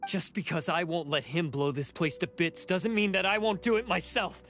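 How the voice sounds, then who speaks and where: A man's voice: sits high, moderate pace, a bit bright. A man voicing a proud, guilt-ridden blue oni in a video game, intense and bitter in manner. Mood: threatening. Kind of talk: dramatic game voice acting. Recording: background music under the voice.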